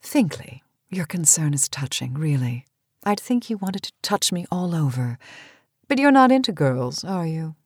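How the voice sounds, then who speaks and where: An actress playing an imperious, vain, middle-aged cosmetics queen in a campy audio drama, husky and cutting. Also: low-pitched, big pitch swings, measured pace, dry recording, studio quality, heavily acted. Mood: sardonic. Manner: commanding, cutting, sardonic.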